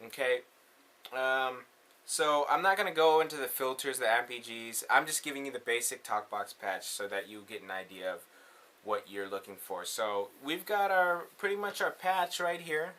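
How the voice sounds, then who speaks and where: A man's voice: medium pitch, expressive, normal speed, sharp tone. A man hosting a casual hands-on tutorial, talking in an informal, slangy way. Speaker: tutorial host.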